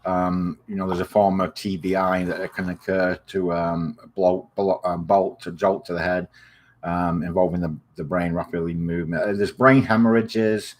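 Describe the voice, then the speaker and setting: English man with a slightly Americanised accent, very low voice, rambling, many ums and uhs, friendly. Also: flat delivery, moderate pace. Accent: English, softened by years in the US. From England. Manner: friendly sign-off. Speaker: video series host. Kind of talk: informal spoken health explainer.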